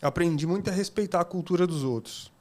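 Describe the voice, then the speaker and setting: A man guest on a podcast, telling stories in a casual, animated way and acting out what people said. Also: high voice, quick pace. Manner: animated, laughing, acts out quotes. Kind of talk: casual storytelling.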